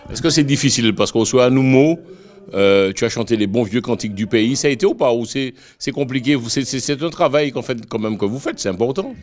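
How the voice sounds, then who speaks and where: A man's voice: medium pitch, lively intonation, brisk, a little mellow. A man working as a reporter, asking loose, hesitant questions in a street interview.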